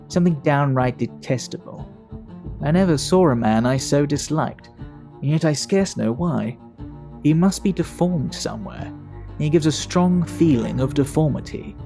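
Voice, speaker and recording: A talkative, worldly English gentleman of the Victorian era in an audio drama. His voice is mellow and gravelly, with music underneath. He tells his tale in measured, fastidious fashion, like a practised gossip. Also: a little high. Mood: uneasy, puzzled.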